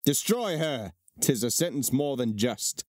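An actor playing a vindictive imperial commander in a Shakespearean dramatic reading. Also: male voice, mid-range voice, big pitch swings, measured pace, darkish tone, no room sound, clean studio sound. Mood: furious, contemptuous.

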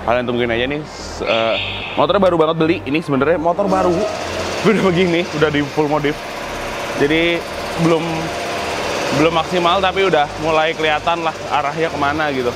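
A man's voice: a little high, fairly quick, background noise. A young man from Indonesia giving a casual, slangy walk-through of his custom workshop, laughing often. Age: young adult. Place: large indoor car workshop.

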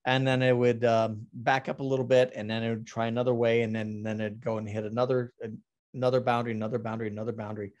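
A middle-aged man talking casually about his artwork on a video call, halting with many ums and restarts. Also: medium pitch, little pitch movement, darkish tone, dull audio. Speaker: artist presenting his work. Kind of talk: casual talk over a slide presentation.

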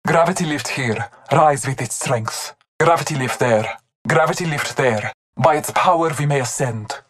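A man plays a devout hunter warrior from a video game, with a somewhat high-set voice. The delivery is solemn and formal, like short ceremonial callouts to fellow fighters in the field. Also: normal speed, background noise, close to an everyday voice. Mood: solemn, reverent.

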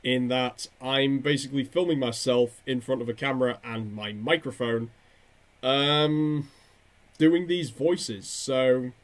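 A man's voice: mid-range voice, slow pace. A young man chatting casually to camera in a hesitant, rambling way, at a desk microphone in a bedroom. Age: young adult. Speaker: video creator and amateur voice impressionist. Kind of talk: casual vlog talk to camera. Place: small bedroom indoors. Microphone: desk condenser microphone close in front.

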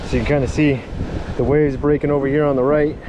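A man talking casually to viewers in an excitable, folksy, slangy way, like a fishing vlogger, recorded outdoors on an action camera. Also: medium pitch, quick pace. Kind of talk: casual fishing vlog commentary. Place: outdoors on an open sea beach. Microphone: body-worn action camera. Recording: windy beach, surf and wind noise. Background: wind and breaking waves.

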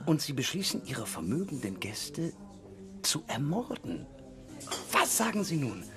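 Excitable, touchy crime writer. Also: male voice, fairly high pitch, unhurried, a crowd in the background. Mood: proud, excited. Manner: excitable, repeats himself, chuckling. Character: eager, touchy amateur crime writer deferring to his wife. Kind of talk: German radio play acting.

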